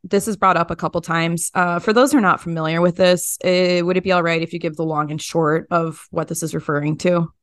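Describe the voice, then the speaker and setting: A woman's voice: on the low side, fairly even intonation, brisk, few pauses, no room sound, studio quality. A woman hosting a podcast interview, asking questions in a casual, informal, colloquial way. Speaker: podcast host.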